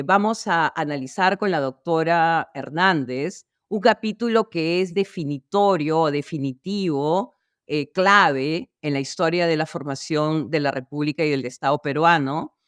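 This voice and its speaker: A woman's voice: deep voice, clear, resonant tone, no room sound, clean studio sound. A woman introducing a history podcast, explaining things in a somewhat hesitant way.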